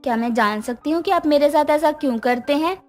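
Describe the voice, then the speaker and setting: Woman playing a self-sacrificing middle-aged mother in a family story, high-pitched and meek, music behind. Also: brisk, close to an everyday voice. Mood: hurt, pleading. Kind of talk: acted dialogue for a narrated moral story.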